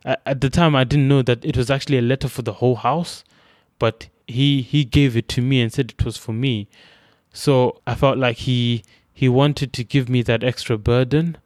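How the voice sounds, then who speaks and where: A man's voice: mid-range voice, fairly even intonation, normal speed, darkish tone, no echo, studio quality. A young man from Zimbabwe with a Zimbabwean accent, thoughtfully recounting his own experiences and often restarting his phrases. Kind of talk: reflective personal account.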